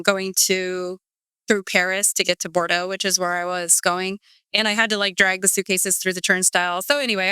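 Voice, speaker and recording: A woman's voice: medium pitch, pushed and bright, clean tone, dry recording. A woman in her forties from California, a podcast guest telling her story in a chatty, rambling way with many fillers. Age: 40s. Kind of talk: casual interview storytelling.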